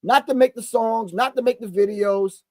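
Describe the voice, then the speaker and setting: A man's voice: very high voice, moderate pace, dry recording. A man in his sixties hosting a show, reacting to a video with emphatic, preachy commentary and repeated key phrases. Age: 60s. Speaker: host.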